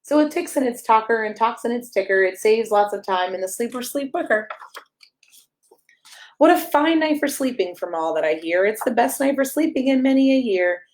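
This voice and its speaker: A woman's voice: fairly high pitch, animated, moderate pace. A woman reading a rhyming children's picture book aloud as a storyteller, in a playful, sing-song way with emphasis on the rhymes.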